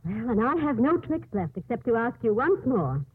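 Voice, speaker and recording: A woman playing a kind, patient but persistent nursing nun in a 1950s radio drama, with a husky voice. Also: on the high side, big pitch swings, moderate pace, exaggerated voice. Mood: patient, persuasive. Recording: muffled phone-like old radio broadcast.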